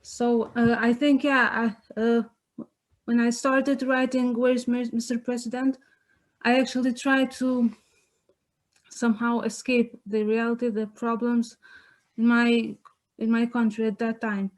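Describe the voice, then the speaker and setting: High, flat-toned young Kosovan woman. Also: unhurried. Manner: earnest, searching for words. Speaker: playwright on a panel. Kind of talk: unscripted panel answer. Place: online webinar.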